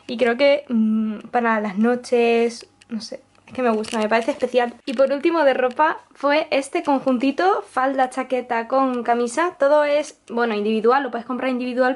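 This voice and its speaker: High-voiced young Castilian Spanish woman vlogging. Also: a little mellow. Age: young adult. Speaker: lifestyle vlogger. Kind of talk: casual chat to camera. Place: small apartment, indoors. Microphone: handheld camera or camera on desk, close range.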